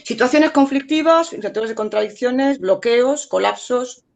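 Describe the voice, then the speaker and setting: Woman lecturer, native French, slightly gravelly, reflective conference speech. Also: a little high, expressive, normal speed, a little mellow. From Spain and France. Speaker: conference speaker, artist and art lecturer. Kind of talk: conference talk.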